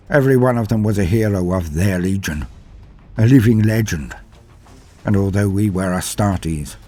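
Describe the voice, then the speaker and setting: Grim veteran sci-fi war officer, played by a male narrator: low-pitched, solemn, dramatic pauses, faint music underneath. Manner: solemn, measured, dramatic pauses with hushed phrase endings. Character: grim, doomed male super-soldier officer recalling battle. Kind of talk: dramatic first-person scripted war-story narration.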